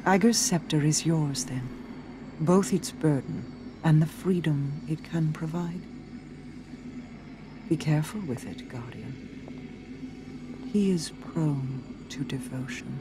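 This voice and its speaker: A mysterious, regal queen in a video game. She is solemn and brooding, with slow, formal phrasing. Clear music plays behind her monologue. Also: low-pitched. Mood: earnest, grave.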